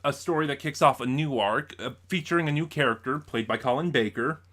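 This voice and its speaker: A man's voice: mid-range voice, a little fast, fairly bright tone. A man vlogging a casual unboxing review, explaining things in a rambling way and often correcting himself. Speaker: vlogger reviewing audio drama purchases.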